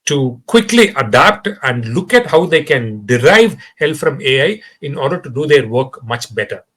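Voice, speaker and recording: A man's voice: mid-range voice, moderate pace, slightly rough. Indian guy on a podcast, voice jumping up and down a lot, keeps saying um and uh, restarts his sentences. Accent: Indian English. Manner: animated, lots of fillers and restarts. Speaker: podcast guest. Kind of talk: conversational interview.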